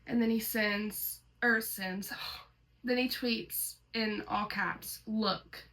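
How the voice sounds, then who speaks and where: A woman's voice: mid-range voice, steady tone, very slow, fairly bright tone, poor audio. A woman reading a horror story aloud as host, slipping between dramatic reading and casual commentary.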